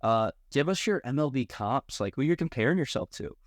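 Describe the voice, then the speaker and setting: A man's voice: mid-range voice, expressive, fairly quick, dry recording, clean studio sound. A man hosting a podcast, asking questions in an informal, easygoing and chummy way. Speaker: podcast host interviewing athletes. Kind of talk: casual interview chat.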